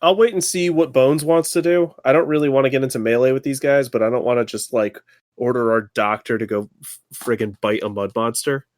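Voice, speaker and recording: A man's voice: mid-range voice, a little fast, no echo, clean studio sound. A man playing a tabletop game, chatting and joking in a slangy, mock-exasperated way. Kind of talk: casual joking game chat.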